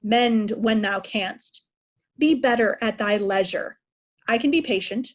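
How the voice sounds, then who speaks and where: A woman's voice: medium pitch, natural voice. A woman playing a proud aging king, raging at ungrateful daughters in a declamatory Shakespearean style. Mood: anguished restraint. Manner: declamatory, deliberate, anguished. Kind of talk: Shakespearean reader's theatre acting.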